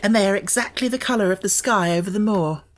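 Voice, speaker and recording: A man's voice: high-pitched, fast talker, voice pushed up, close to an everyday voice. A man voicing a stubborn, eager little girl in an audiobook, speaking with earnest determination. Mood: obstinate.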